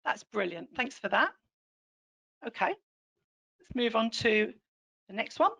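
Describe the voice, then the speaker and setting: Woman trainer w a croaky scratchy throat, upbeat n encouraging, explains stuff clearly. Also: on the high side, animated, takes it slowly, pushed and bright. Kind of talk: online teaching session with polls.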